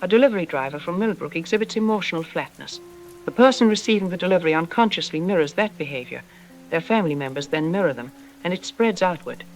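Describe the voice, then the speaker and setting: A woman playing a self-assured psychologist on a radio drama interview, explaining in an articulate, didactic and calmly dismissive way. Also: on the low side, fast talker, slight background noise, rough recording. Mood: insistent. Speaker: expert interview guest. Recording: music playing under the voice. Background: background music.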